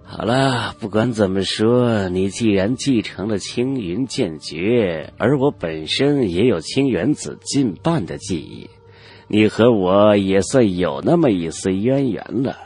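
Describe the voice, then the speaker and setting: A man voicing an old male cultivator elder in a fantasy audiobook, haughty and knowing, with measured, condescending, old-fashioned speech. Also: fairly low pitch, lively intonation, a little mellow, slightly rough, soft background music, exaggerated voice. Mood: stern, probing. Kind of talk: Chinese fantasy audiobook character acting.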